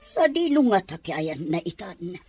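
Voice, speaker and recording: A woman playing a scolding older woman in an audio drama, speaking deliberately and forcefully. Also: lowish voice, sing-song, husky, faint music behind, heavily acted. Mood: anxious, indignant. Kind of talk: Ilocano audio drama acting.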